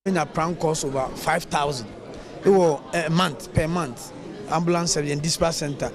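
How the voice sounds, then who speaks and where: A man's voice: fairly high pitch, moderate pace. A man with a Ghanaian accent giving an unscripted interview answer as a service spokesperson.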